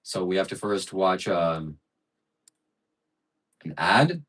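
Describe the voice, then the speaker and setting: A man's voice: low voice, big pitch swings, takes it slowly, fairly bright tone. A man hosting a casual livestream, thanking donors and stacking up adjectives as he chats. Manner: reads out donations and piles up adjectives. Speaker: livestream host.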